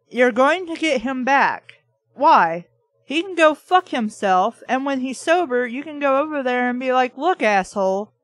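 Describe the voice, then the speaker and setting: Blunt, sweary high-pitched woman reading aloud. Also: no room sound. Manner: opinionated, argues back at the text. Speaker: host reading and critiquing a book aloud. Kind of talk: read-aloud with off-the-cuff sarcastic commentary.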